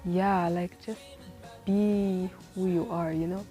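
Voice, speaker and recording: A woman's voice: lowish voice, steady tone, slow pace, warm tone, soft background music. A twenty-year-old woman vlogging casual life advice, rambling and chatty with lots of "like" and "you know", often laughing at herself. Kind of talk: casual rambling monologue to camera. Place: in the city where she lives.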